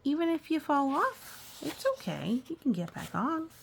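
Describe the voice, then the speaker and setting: A woman's voice: sits high, sing-song, moderate pace, creaky, a little hiss or hum, voice pushed up, full character voice. A woman voicing an upbeat, reassuring pig in a children's storybook, speaking with cheerful encouragement. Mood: encouraging. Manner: encouraging, bright-hearted.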